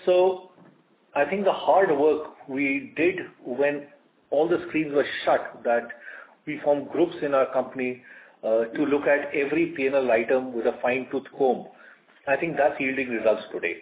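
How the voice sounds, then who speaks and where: A man with an Indian accent answering as a company executive on an earnings call, measured and emphatic, in short chunks. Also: mid-range voice. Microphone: conference call line. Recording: muffled narrowband conference call audio.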